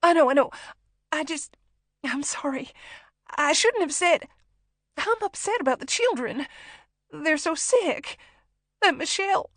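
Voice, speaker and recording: A woman narrator playing a frightened female doctor held hostage in an audiobook, pleading desperately in halting, breathless bursts. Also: sits high, expressive, a bit bright, no echo, voice pushed up. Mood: desperate, placating. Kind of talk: audiobook character acting.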